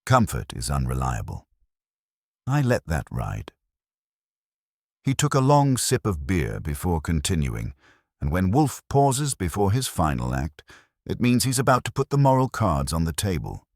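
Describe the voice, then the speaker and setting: A man reading a scripted first-person detective story in a measured, wry manner. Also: low voice, wildly swooping pitch, darkish tone, no echo, clean studio sound.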